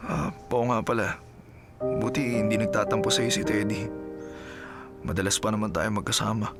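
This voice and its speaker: An actor with a Filipino accent playing a grateful, troubled husband of a sick wife in a radio drama. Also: male voice, on the low side, steady tone, moderate pace, loud music behind the voice, close to an everyday voice.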